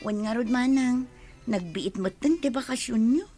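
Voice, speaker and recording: A woman's voice: fairly high pitch, moderate pace, mellow, soft background music, close to an everyday voice. A woman acting an exasperated village woman in an Ilocano radio family comedy, with an Ilocano accent. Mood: chiding.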